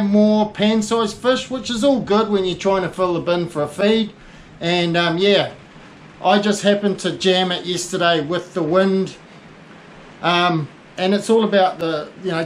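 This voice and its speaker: A man's voice: high voice, slight background noise. A New man in his forties giving a rambling, casual fishing report from inside his small tackle shop, full of fillers and restarts. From New Zealand.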